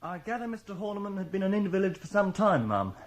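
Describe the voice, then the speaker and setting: A man's voice: high voice, very animated, fast talker. An actor playing a police sergeant in a radio drama, deferential but persistent in his questioning. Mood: polite, insistent.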